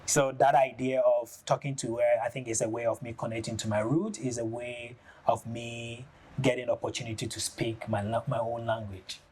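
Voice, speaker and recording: A man with a Ghanaian accent, an artist talking through his work in a thoughtful, halting way, often pausing on "you know.". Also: medium pitch, flat delivery, darkish tone, husky. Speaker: ceramic artist. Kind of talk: reflective studio talk.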